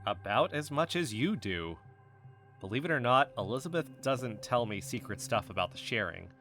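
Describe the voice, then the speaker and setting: An earnest man confides his suspicions as an amateur investigator in a naturalistic podcast drama. His mid-pitched voice is insistent and defensive, with faint music behind. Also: expressive, moderate pace, a bit bright. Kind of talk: naturalistic fiction podcast acting as recorded conversation.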